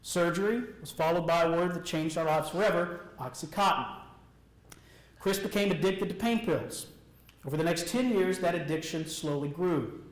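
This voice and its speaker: High-voiced American man giving an earnest speech. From Alabama, United States. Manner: earnest, deliberate, emphatic short phrases with repetition. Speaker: motivational speaker. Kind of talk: rehearsed personal testimony speech to an audience. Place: echoey room, speaking before an audience. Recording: echoey room sound.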